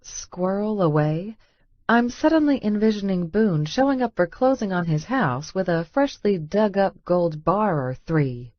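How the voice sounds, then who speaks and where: A woman's voice: medium pitch, lively intonation, measured pace, no room sound. A woman reading a scripted first-person romance audiobook, with slightly muffled sound. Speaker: audiobook narrator.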